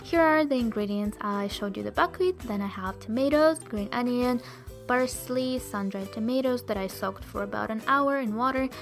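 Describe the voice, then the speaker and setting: A woman's voice: on the high side, fairly bright tone. A young woman with a Russian accent, chatting cheerfully to viewers as she narrates her cooking. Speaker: vegan food vlogger.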